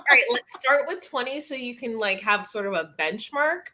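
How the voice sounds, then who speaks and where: A woman with a rather high, very expressive voice chats casually on a livestream. Her delivery is playful and teasing, swinging up and down in pitch. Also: moderate pace, slight background noise.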